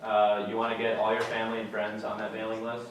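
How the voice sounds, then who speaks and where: A young man giving a casual talk to a student audience, relaxed and plain-spoken with many fillers. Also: fairly low pitch, fast talker, a little hiss or hum. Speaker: guest speaker. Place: lecture room with audience. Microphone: far camera. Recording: echoey room from far off.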